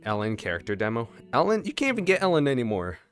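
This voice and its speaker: A man's voice: mid-range voice, big pitch swings, fast. A man giving casual reaction commentary as he watches a video game story. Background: faint game music.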